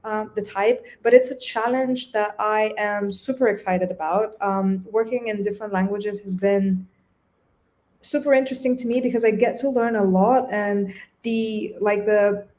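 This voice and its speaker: A woman's voice: mid-range voice, moderate pace, a little mellow. Bulgarian woman talking over a video call. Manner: thoughtful, with frequent restarts. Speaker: guest designer. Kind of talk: casual interview chat.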